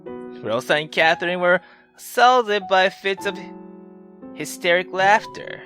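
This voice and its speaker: A woman hosting a gaming video, reading a visual novel aloud with expressive, casual, sweary commentary. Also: lowish voice, a little slow. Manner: expressive, swearing, exclamatory asides. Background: faint game music.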